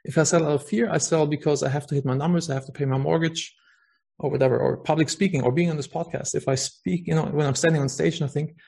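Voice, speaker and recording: A man's voice: on the high side, fairly even intonation, quick pace, creaky, darkish tone, breathy edge. A man with a German accent, a podcast guest talking earnestly about his work, with many fillers and restarts. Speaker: podcast guest, software founder. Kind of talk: casual interview talk.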